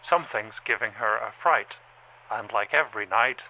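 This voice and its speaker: An actor playing a cold, detached security camera that reports what it sees in a halting, deliberate monotone for an audio drama. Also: male voice, fairly low pitch. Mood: detached, watchful. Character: male security camera, cold detached slow-thinking machine observer. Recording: clearly noisy background.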